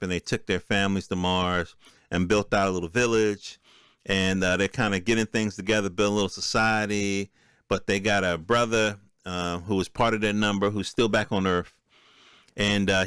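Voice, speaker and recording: A man with a fairly low voice delivers an unscripted podcast monologue. His speech is casual and streetwise, full of colloquial turns, and the sound is very dry with hardly any room to it. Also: a bit bright, smooth, no echo. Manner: streetwise, colloquial, peppered with profanity. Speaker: podcast host.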